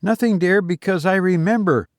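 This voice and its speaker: Fretful, proper lady of the house for a story male reader, high-pitched and dutiful. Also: moderate pace, no room sound, studio quality. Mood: attentive.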